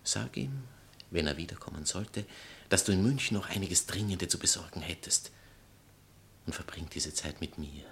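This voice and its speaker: An actor playing a pompous, theatrical writer and former lover, declamatory and sarcastic in a stage satire. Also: male voice, low voice, normal speed, vocal fry, fairly bright tone, a little hiss or hum. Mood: wooing, intimate. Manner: declamatory, sarcastic, self-important. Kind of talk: stage satire acting in literary German.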